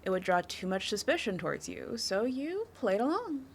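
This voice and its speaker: A woman's voice: mid-range voice, very animated, moderate pace, slight background noise. A woman playing a confident, flirtatious criminal suspect in an audio roleplay, teasing the listener with a coy, playful delivery. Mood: sly, teasing.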